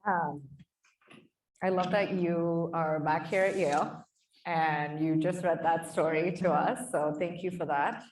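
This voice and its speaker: A woman's voice: fairly low pitch, fairly even intonation, a little slow, distant mic, slight background noise. A woman with an Indian accent, co-chairing a conference and moderating a panel, friendly with frequent ums. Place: conference hall. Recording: echoey hall, voice from off mic.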